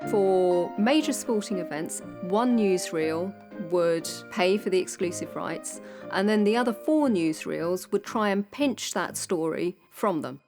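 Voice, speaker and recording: A woman's voice: lowish voice, animated, measured pace, over music. A woman gives explanatory interview commentary in a documentary. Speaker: interviewed commentator on newsreel history.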